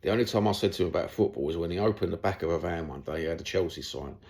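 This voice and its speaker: A middle-aged Cockney man from London talks straight to his audience in a loose, rambling monologue. His voice sits very low and has a rough, gravelly fry. He is blunt and chatty, and he swears now and then. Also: very fast, darkish tone. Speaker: football YouTuber. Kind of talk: casual monologue to camera.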